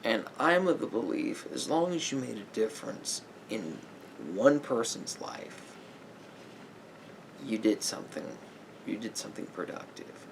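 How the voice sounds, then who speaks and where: A man playing a sympathetic therapist in an ASMR roleplay, giving gentle advice in a halting, repetitive, hedging way. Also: mid-range voice, big pitch swings, a little hiss or hum, rough recording. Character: calm, sympathetic male therapist counselling a client.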